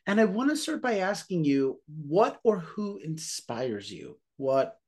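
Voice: mid-range voice, very animated, takes it slowly, darkish tone, husky. Upbeat podcast host. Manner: upbeat, gushing. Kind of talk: podcast interview.

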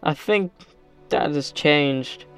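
Man playing a higher-voiced sullen teenage son. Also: slow pace, a bit bright, clean tone, faint music behind. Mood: hurt, sullen. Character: sullen, affectionate college-bound son, about eighteen. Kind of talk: audio drama acting.

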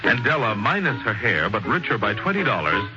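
A low-voiced man narrates a radio drama in theatrical 1940s style, with lively swings of pitch. His storytelling is measured, and the muffled vintage broadcast carries prominent music behind him.

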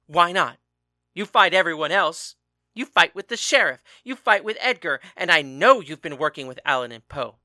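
A hot-tempered husband and father, as a man puts him on, hurling loud, accusing questions in a bright, forceful voice. The pitch climbs as he rages, and the reading sits close and dry with no room around it. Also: normal speed, clean tone, no echo. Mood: furious. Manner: shouting, accusing.

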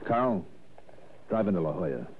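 An actor playing a hard-boiled, unflappable investigator in a 1960s radio drama, narrating in a brisk, clipped way. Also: male voice, sits low, lively intonation, slight background noise. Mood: matter-of-fact, resolute. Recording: narrow lo-fi 1960s radio, music behind.

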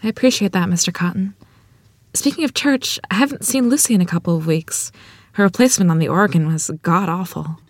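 Woman as a polite rural mother, slightly husky, self-doubting, hesitant, table-read drama, dry close sound. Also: lowish voice, a little fast, no room sound. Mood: polite, guarded. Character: modest, hesitant rural wife and mother, gifted pianist.

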